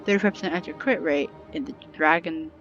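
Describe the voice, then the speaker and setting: A woman's voice: sits low, a little fast, a bit bright, dull audio. A woman giving casual let's play commentary, informal and reactive, thinking aloud as she plays. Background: game music.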